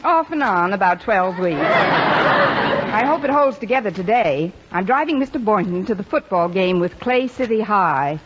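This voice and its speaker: A woman's voice: medium pitch, sing-song, measured pace. An actress playing a wry, wisecracking, hopeful woman schoolteacher in an old-time radio sitcom, with a dry, sardonic delivery. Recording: dull vintage radio recording, noisy, loud audience.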